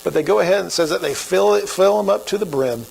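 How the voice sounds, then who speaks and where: A man's voice: high voice, fast talker, vocal fry, darkish tone, breathy edge. A man with an Oklahoma accent preaching a sermon as pastor, in a folksy, conversational way.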